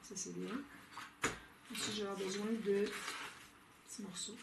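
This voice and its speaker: Québécois woman hosting a craft tutorial, chatty, recorded far off in an echoey room with loud noise behind. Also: medium pitch, flat delivery, moderate pace, vocal fry. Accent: Québécois French. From Quebec, Canada. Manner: chatty, thinks aloud with many "euh" fillers and small laughs. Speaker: scrapbooking tutorial host. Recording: roomy, off-mic, with loud background noise.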